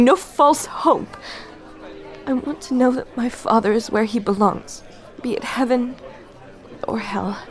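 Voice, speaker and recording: An actress playing a grieving, determined daughter in an old-time radio noir drama, earnest and dramatic. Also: female voice, on the high side. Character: grieving, determined daughter, female detective's client.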